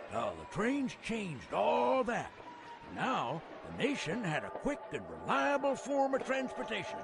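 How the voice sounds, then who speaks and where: Raspy old rural American geezer, high voice, wildly animated, folksy game guide. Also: male voice, full character voice. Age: older. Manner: folksy, chuckling, rambling drawl. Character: folksy, teasing elderly male railroad museum caretaker. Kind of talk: character narration for a video game.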